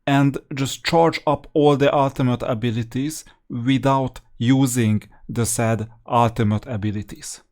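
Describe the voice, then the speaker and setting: A man giving casual gameplay commentary as a guide, explaining his choices and thinking aloud in a halting way. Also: mid-range voice, steady tone, a little mellow.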